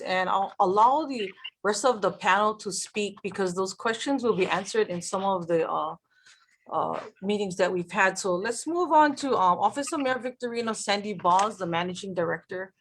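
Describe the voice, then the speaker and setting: A woman, a state senator, moderating a virtual town hall with polite, brisk handoffs. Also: mid-range voice, expressive.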